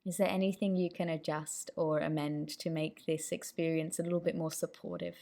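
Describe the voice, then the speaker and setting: A woman leading a guided meditation as the instructor, with gentle, inviting instructions. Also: low-pitched, animated, fairly quick.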